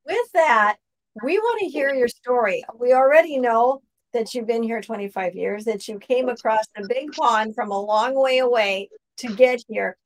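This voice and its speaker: Higher-voiced woman hosting an interview. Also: moderate pace, rough recording. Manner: effusive, affirming way of talking. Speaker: interview host. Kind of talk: conversational faith interview.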